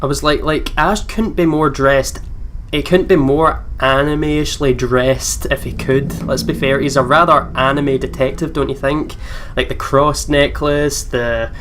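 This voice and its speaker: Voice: medium pitch, steady tone, measured pace, fairly bright tone, a little hiss or hum. A let's play host reading game text aloud with casual commentary, over game music.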